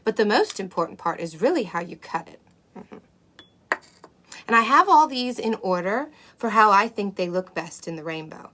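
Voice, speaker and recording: A woman playing an excitable, gentle unicorn who presents a children's cooking show, talking to young kids with wonder and breathy asides. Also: mid-range voice, moderate pace. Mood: instructive, cheerful. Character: excitable, gentle, impatient female unicorn hosting kids' show. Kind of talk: children's cooking demonstration to camera. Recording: clear steady background noise.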